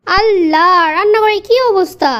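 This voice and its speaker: A woman's voice: very high voice, normal speed, a bit bright. A woman voicing a stubborn young wife in a cartoon family comedy, complaining and repetitive, with a Bangladeshi Bengali accent. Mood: overwhelmed, regretful. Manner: complaining, repeats words. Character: quarrelsome, stubborn young wife and sister-in-law.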